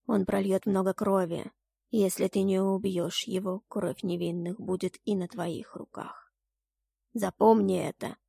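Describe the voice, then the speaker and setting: A woman voicing a solemn male god in a fantasy audiobook, grave, measured and commanding. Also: medium pitch, vocal fry, warm tone, no echo, studio quality. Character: stern, solemn male god pronouncing grim destiny. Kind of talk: dramatic fantasy audiobook acting.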